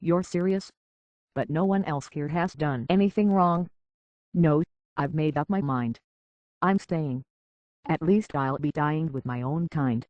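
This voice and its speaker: An actress playing an earnest, troubled young woman searching for answers in a video game, speaking in a halting, hushed way. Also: deep voice, no room sound, dull audio. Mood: bitter, hurt.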